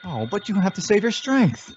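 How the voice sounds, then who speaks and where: A man's voice: high voice, big pitch swings, moderate pace, a little mellow, a little hiss or hum. A man playing an eager, doting husband and father-to-be in an old-time radio sitcom, with a coddling manner. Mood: tender, teasing. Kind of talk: 1940s radio sitcom acting. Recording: muffled old-time radio style recording.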